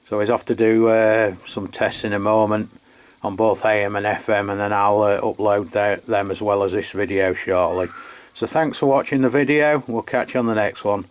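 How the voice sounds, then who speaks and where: An English man hosting a radio demo in casual unscripted chat, halting and rambling as he corrects himself. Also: fairly low pitch, fairly even intonation. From England. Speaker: amateur radio channel host. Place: beside his radio set, filming the equipment.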